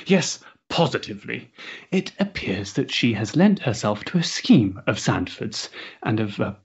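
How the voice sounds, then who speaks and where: An actor playing a tense, formal gentleman of the Victorian era, clipped and hesitant, in a period stage drama. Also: lowish voice, big pitch swings, measured pace, slightly rough, highs cut off, exaggerated voice. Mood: uneasy, formal.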